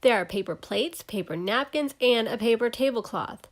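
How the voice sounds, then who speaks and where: A woman reading a story aloud for young children, animated and clearly enunciated, taking care over each word. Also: fairly high pitch, normal speed. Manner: animated, clearly enunciated, spells out and explains words.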